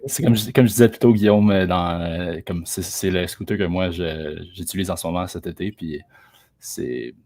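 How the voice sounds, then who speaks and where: Low, dry-sounding Quebec French man. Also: fairly quick, a little mellow, no room sound. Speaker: co-host. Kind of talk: casual spoken reply to a viewer.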